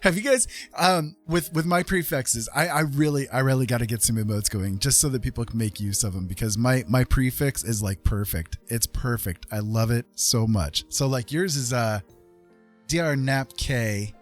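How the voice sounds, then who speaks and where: A man's voice: mid-range voice, normal speed. A man hosting a casual live stream, telling jokes and chatting playfully with viewers. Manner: playful, jokey, conversational. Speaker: live stream host and digital artist. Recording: music behind the voice. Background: background music.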